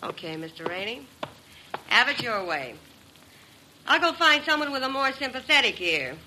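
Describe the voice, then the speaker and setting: A cool, streetwise female blackmailer in a vintage radio crime drama. Her voice is sharp and brassy, and she talks in hard slang, pressing every point insistently. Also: fairly high pitch, sing-song, moderate pace, slight background noise, heavily acted, low-quality recording. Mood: hard, threatening. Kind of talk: 1950s radio drama acting.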